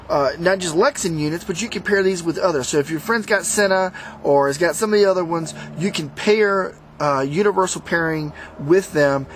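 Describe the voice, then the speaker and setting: A man giving a casual, unscripted product review to viewers in a halting, rambling, conversational way. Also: fairly high pitch, slight background noise. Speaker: product reviewer, host of a motorsport video channel.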